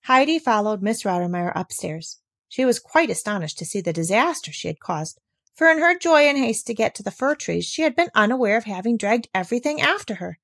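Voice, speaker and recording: A woman narrating a children's storybook aloud as an audiobook, with expressive delivery. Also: medium pitch, fairly quick, no room sound, studio quality.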